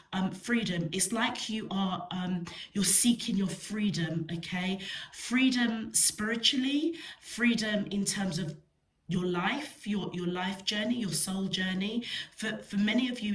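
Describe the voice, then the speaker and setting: A woman's voice: mid-range voice, a little hiss or hum, rough recording. Woman reading tarot with a stuffy cold. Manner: gentle, meandering, with frequent hesitations; earnest, addresses the listener directly. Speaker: tarot reader. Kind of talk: weekly tarot card reading.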